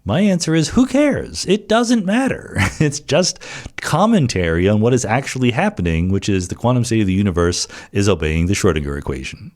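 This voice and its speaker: Low-pitched man explaining things informally. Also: sing-song, fairly quick, a little mellow. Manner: conversational, explanatory, with rhetorical questions and asides. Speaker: podcast host answering listener questions.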